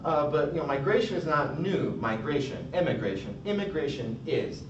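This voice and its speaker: Young American academic presenting casually with filler words, recorded far off in a rumbly, muffled room. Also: male voice, animated, normal speed. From United States. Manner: casual, chatty, many fillers and restarts. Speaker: academic conference speaker. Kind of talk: recorded conference talk. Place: college room. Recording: distant, echoey, dull, noisy rumble.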